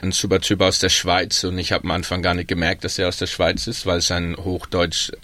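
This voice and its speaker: A man's voice: deep voice, brisk, dry recording. A young man with a Baden German accent, chatting casually on a panel with frequent ums. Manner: relaxed, chatty, many ums. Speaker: guest, pub worker in Melbourne.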